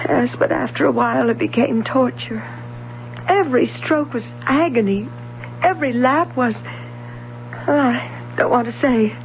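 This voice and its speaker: High-voiced, troubled young woman. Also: a little slow. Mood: anguished confession. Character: troubled young female swimmer in hiding, guarded, insecure. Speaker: actress. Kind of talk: old-time radio drama acting. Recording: dull, hissy, humming old radio recording.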